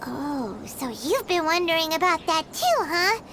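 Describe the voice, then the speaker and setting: A woman voicing a tiny, curious fairy companion in a video game, perky, chatty and childlike, with loud noise underneath. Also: extremely high pitch, sing-song, unhurried, full character voice. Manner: perky, childlike, speaks of self by name. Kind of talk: scripted video game character acting.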